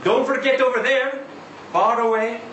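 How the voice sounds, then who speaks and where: A man reading a short story aloud as a chatty, insistent Israeli taxi driver, halting and conversational, full of rhetorical questions and tags. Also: extremely high pitch, steady tone, a bit bright, dull audio. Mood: wistful, warm. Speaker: reader at a live literary event. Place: indoor live reading event. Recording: roomy live venue, distant mic, faint noise.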